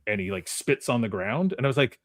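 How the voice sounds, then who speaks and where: A man's voice: medium pitch, animated, a little fast, fairly bright tone, dry recording, studio quality. A man hosting a movie trivia game in casual chat, often breaking off and restarting his sentences as he goes.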